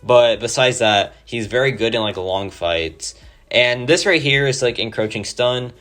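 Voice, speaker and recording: A man with a hoarse, sore-throated voice giving casual, enthusiastic gameplay commentary over a home mic. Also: mid-range voice, a little slow, a bit bright. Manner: enthusiastic, rambling, many "like" fillers. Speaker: mobile game player.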